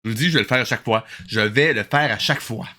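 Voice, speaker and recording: A man's voice: medium pitch, quick pace. A man, hosting a watch-along with casual, joking commentary. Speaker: host of a watch-along show.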